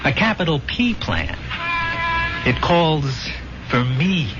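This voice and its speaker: Brash American restless army buddy. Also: male voice, fairly high pitch, wildly swooping pitch, slow pace, heavily acted. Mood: earnest, explaining. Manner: slangy, emphatic, streetwise storytelling. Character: brash, restless dead army buddy, fast-talking charmer. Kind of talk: 1970s radio drama acting. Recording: dull 1970s radio recording with music.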